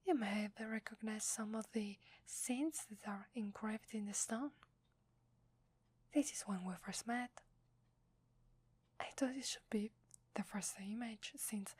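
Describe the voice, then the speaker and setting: Female actor for secretive, affectionate werewolf wife, ASMR roleplay; Italian accent, breathy and hesitant whispering, very dry close sound. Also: medium pitch, no echo. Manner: tender, hesitant, intimate. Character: loving, secretive werewolf wife, tender tinkerer and sculptor.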